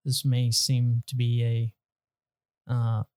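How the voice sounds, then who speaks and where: A man's voice: medium pitch, monotone, takes it slowly, warm tone, clean tone. A man co-hosting a casual comedy podcast, joking and rambling with restarts. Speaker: podcast co-host.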